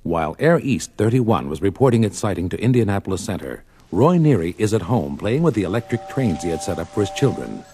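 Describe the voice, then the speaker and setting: A man's voice: on the low side, sing-song, fairly quick, hardly any pauses, dark tone, breathy edge. A man narrating an audio drama in a dramatic scripted storytelling style. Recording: old story record with backing sound.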